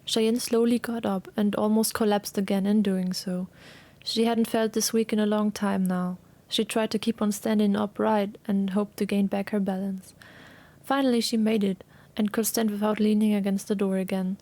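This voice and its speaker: A woman narrating a scripted dramatic story in a measured, solemn way. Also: mid-range voice, flat delivery, darkish tone.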